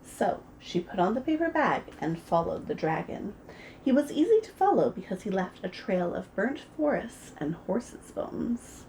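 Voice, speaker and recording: A woman reading a children's picture book aloud, gentle and expressive as a preschool storyteller. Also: medium pitch, a little slow, a little hiss or hum, low-quality recording. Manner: gentle and expressive, voices the characters.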